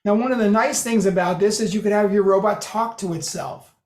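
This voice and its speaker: A presenter walks a group through a code demo, talking loosely and correcting themselves as they go. The voice sits at a middling pitch. A roomy echo from the meeting room hangs on every word. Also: brisk. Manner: explanatory, loose, with restarts and self-corrections.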